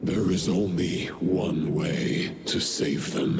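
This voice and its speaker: An actor playing a bitter fallen knight who preaches dark truths in a solemn, declamatory, grandiose manner for a video game. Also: male voice, low voice, fairly even intonation, slow pace, very noisy. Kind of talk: dramatic video game voice acting. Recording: voice under loud music.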